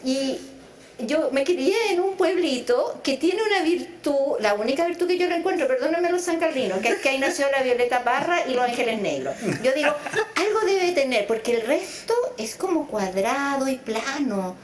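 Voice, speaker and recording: A woman's voice: high voice, animated, normal speed, roomy sound. A middle-aged woman, a psychologist raised partly in Venezuela, speaking thoughtfully in an interview, stretching words for emphasis. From Chile, raised in Venezuela. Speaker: psychologist guest. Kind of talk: conversational interview.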